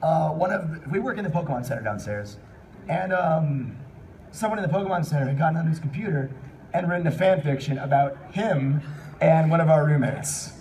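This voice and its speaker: High-voiced man hosting, distant noisy mic. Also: fairly quick. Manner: animated, jokey, informal. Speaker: panel host. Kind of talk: casual live convention panel chat. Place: convention panel room.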